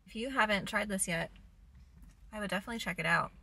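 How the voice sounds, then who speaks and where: A woman's voice: mid-range voice, fast talker, creaky, sharp tone, reverberant. A young woman in her twenties vlogging casually, chatting upbeat about products and meal prep in her car and kitchen. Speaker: lifestyle vlogger. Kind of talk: casual vlog chat to camera. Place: parked car, then home kitchen.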